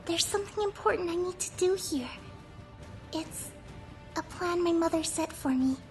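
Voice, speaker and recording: A woman voicing a gentle but resolute young girl in a sci-fi parody, hesitant and earnest. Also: extremely high pitch, moderate pace, faint music behind. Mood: hesitant resolve. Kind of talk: animated sci-fi parody voice acting.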